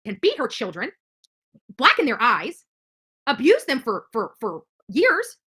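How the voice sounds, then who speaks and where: A woman's voice: on the high side, wildly swooping pitch, a little slow, pushed and bright, husky. A middle-aged woman giving an angry, confrontational rant straight to the camera, indignant and repeating her points. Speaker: activist streamer.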